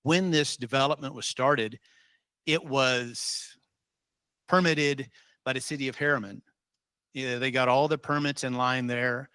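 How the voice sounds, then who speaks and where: A man's voice: mid-range voice, fairly even intonation, measured pace, pauses often, bright, forceful tone, clean tone, no echo. A man from Utah, a state official leading a public meeting, talking informally with many ums and rambling asides.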